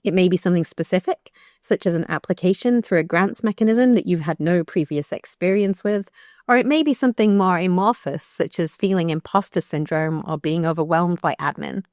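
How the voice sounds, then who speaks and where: A woman with a croaky voice reading her own blog post aloud, measured and thoughtful with brief restarts. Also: on the low side, darkish tone, no echo, clean studio sound. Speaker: researcher narrating her blog.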